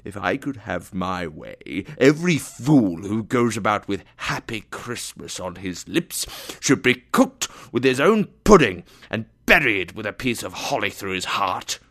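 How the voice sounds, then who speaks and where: A man's voice: deep voice, lively intonation, unhurried, pushed and bright, exaggerated voice. A man voicing a grumpy, miserly older uncle in a storybook reading, curt, bitter and scornful. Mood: angry.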